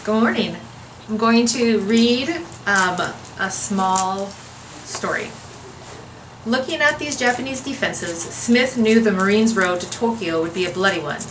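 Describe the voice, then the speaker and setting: A woman with a medium-pitched voice reads a history book aloud. Her delivery is earnest and formal, that of a guest reader rather than an actor. There is faint noise behind her. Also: measured pace, a bit bright, poor audio.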